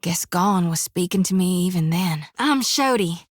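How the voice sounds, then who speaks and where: An actress voicing a folksy, earnest young priestess of a death god in a video game, with a drawl, rambling and plainspoken. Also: female voice, on the low side, expressive, normal speed, dry recording, studio quality.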